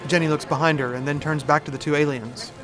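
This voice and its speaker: A man's voice: on the high side, fairly even intonation, fast, a bit bright, a faint crowd. A man giving scripted, present-tense narration for an audio drama. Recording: music behind.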